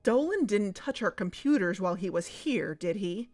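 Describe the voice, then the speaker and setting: A woman's voice: mid-range voice, expressive, moderate pace, a little mellow, faint music behind, lightly acted. A woman playing a practical, level-headed podcast co-host in an audio drama, with a matter-of-fact manner. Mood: wary. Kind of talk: audio fiction podcast dialogue.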